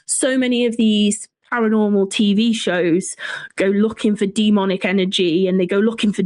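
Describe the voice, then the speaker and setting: An English woman speaks as an interview guest in a talkative, informal and earnest way. Her pitch sits in the middle range for a woman. The sound is dry, with very little room in it. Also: steady tone, normal speed, a little mellow, dry recording. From Shropshire, England. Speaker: interview guest, folklore writer. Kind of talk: conversational interview.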